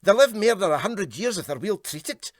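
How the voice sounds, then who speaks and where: Sly Scottish crewman, done by a man, needling with mock sympathy, higher pitch, comic storybook. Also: sing-song, moderate pace, dry recording, studio quality. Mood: teasing. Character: sly, teasing male deckhand. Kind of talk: comic storybook character reading.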